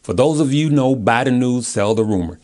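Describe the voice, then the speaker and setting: A man's voice: medium pitch, expressive, normal speed, a little mellow. An American man talking casually and informally to his viewers as a stock video host, in a chatty, colloquial way. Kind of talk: casual chat, giving stock commentary from notes.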